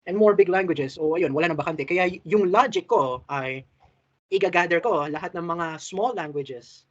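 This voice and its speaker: A man's voice: fairly high pitch, normal speed. A man with a Filipino accent tutoring casually, switching between Tagalog and English and often repeating his phrases. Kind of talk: casual lesson chat, mixing Tagalog and English. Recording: dull lo-fi call audio.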